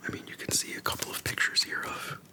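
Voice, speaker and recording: Soft, breathy ASMR whisper. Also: quick pace. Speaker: ASMR video host. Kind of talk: casual rambling game retrospective. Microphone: close microphone.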